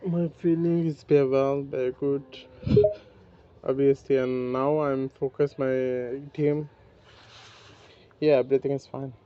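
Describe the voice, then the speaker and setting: A man in his twenties practicing conversation, speaking in halting, broken phrases. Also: mid-range voice, steady tone, clean tone. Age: 20s. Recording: muffled with faint background noise.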